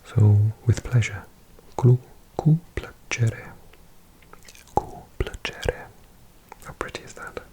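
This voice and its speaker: Language tutor for ASMR: hushed breathy whisper, very unhurried delivery, gentle repetition of vocabulary. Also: mid-range voice. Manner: softly repeating words, unhurried. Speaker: language teacher.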